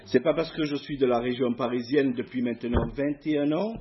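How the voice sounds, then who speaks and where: A man's voice: mid-range voice, fairly even intonation, lo-fi sound. Man with a southern French accent preaching. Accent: southern French (Provence). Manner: hesitant, many filler pauses, friendly. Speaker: guest preacher. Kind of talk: church sermon exhortation. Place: church hall before a masked congregation.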